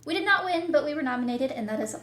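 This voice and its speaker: A woman's voice: sits high, lively intonation, quick pace, a bit bright, slight background noise. A woman chatting casually as a guest in a podcast interview. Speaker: guest, audio drama producer and voice actor. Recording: roomy, echoing sound.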